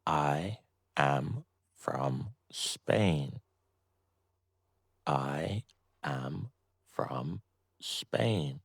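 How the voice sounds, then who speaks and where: A man reading a slow, scripted beginner English lesson, saying each short sentence twice with deliberate pauses. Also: rumbling low, animated, vocal fry, husky, no room sound, clean studio sound. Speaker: English teacher.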